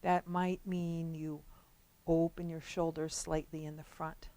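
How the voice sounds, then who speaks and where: A woman guides a relaxation session in a fairly low, very mellow voice. She gives instructions gently and slowly, leaving long pauses between phrases. The sound is dry, with no room echo. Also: little pitch movement.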